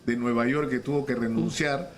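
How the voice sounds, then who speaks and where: A man's voice: medium pitch, fairly even intonation. A man with a Peruvian accent interviewing on radio, stumbling and repeating words as he builds his argument. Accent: Peruvian Spanish. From Peru. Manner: halting, repeats words, argues his point.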